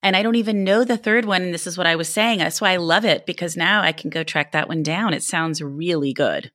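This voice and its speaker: A woman speaks as a podcast host in a conversational style. Her voice sits a little low and the sound is very dry, with no room around it. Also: fairly quick, a bit bright, dry recording. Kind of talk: casual podcast chat and sign-off.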